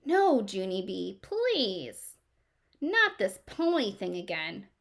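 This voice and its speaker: A woman's voice: medium pitch, big pitch swings, takes it slowly, gravelly, exaggerated voice. A woman voicing a mother in a children's storybook, easily exasperated and emphatic.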